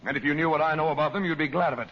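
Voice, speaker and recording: An actor playing a calm, determined hero facing down a villain, in old-time radio drama style. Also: male voice, a little high, racing, lightly acted. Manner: steady, quietly firm. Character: calm, resolute adult male hero confronting a villain. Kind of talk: 1940s radio acting. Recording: dull old radio broadcast with hiss.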